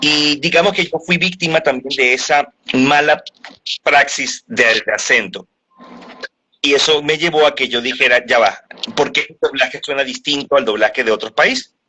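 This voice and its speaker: A man's voice: medium pitch, moderate pace, sharp tone, slight background noise, rough recording. A man who works as a voice actor and dubbing teacher, explaining his craft at length in a casual interview, often restarting his sentences.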